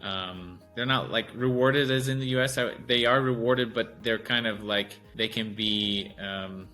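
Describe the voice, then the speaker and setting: This is a man hosting a relaxed talk show. He has a fairly low but forceful, bright-edged voice. He works through his points loosely, often stopping to find the right word. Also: measured pace, faint music behind. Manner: loose, searching explanations with many restarts. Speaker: host. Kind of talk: casual podcast chat.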